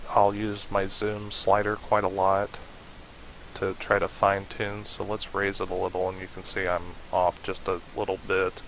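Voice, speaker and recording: A man narrating an unscripted software tutorial in an informal, hesitant way, as if thinking aloud. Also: fairly low pitch, rough recording. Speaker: tutorial presenter. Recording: hissy home voice-over recording.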